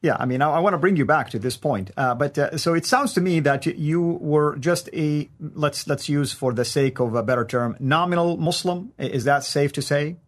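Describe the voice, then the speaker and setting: A man hosting an interview, asking questions in a measured, polite way with frequent fillers. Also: fairly high pitch. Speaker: host.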